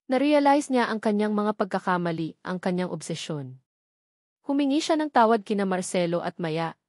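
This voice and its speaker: Woman, Filipino accent, scripted love-story narration, medium pitch, sentimental delivery, very little room echo. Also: a little mellow, clean studio sound.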